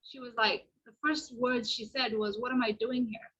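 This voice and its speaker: Bright, husky earnest woman guest. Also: fairly high pitch, steady tone, normal speed, lo-fi sound. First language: Somali. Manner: earnest, reflective, many restarts. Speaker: podcast guest. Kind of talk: casual podcast conversation. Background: washing machine noise.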